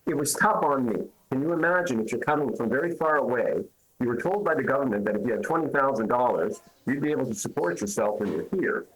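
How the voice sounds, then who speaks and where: A man's voice: medium pitch, animated, fast talker, low-quality recording. A man from Canada, an immigration lawyer, answering interview questions in an emphatic, arguing way with rhetorical questions.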